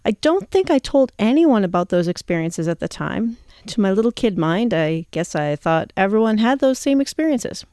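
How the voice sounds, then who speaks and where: A woman's voice: mid-range voice, sing-song, moderate pace, few pauses, dark tone, no room sound. Woman in her 50s hosting her own show, reflective chatty storytelling, really dry close recording. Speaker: show host. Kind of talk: personal storytelling to listeners.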